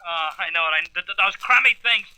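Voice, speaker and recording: A hot-headed space crewman in a radio serial. He blusters and grumbles in slang, with a very bright, forceful edge, stammering whenever he gets flustered. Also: high-pitched, quick pace, smooth, low-quality recording. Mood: angry, flustered. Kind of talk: radio drama acting.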